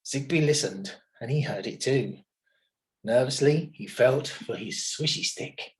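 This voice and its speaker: A man narrating a children's storybook in an expressive, dramatic manner. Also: medium pitch, measured pace. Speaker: children's story reader at a primary school.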